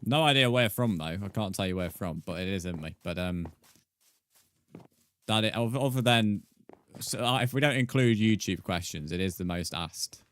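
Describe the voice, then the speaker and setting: A man's voice: fairly low pitch, lively intonation, normal speed, fairly bright tone. An English man chatting casually with viewers as a live streamer, in a rambling, matey way. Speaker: video game live streamer.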